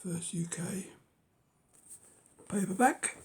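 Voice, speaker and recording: A middle-aged man with a cold, speaking hoarsely and haltingly in a casual show-and-tell of his books, often dropping to a whisper. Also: sits high. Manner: halting, many ums and uhs, drops to a whisper. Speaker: book collector vlogger.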